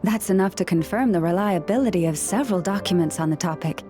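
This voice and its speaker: A woman voicing a calm, composed young woman who guides others with the help of animal helpers, in a video game cutscene. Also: fairly low pitch, quick pace, soft background music, close to an everyday voice. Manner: calm and reassuring.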